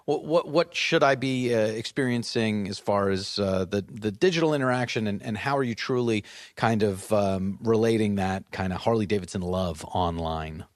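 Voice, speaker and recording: A man's voice: on the low side, moderate pace, no room sound. Dry-sounding man asking interview questions. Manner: chatty, rephrases questions, laughs easily. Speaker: podcast host, interviewer.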